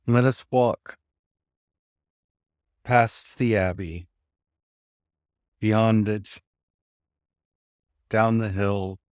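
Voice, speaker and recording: A man with a lowish voice leads a guided meditation very slowly. He gives gentle instructions in short phrases, leaving room between them. The sound is dry and close, with little room echo. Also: steady tone, clean tone.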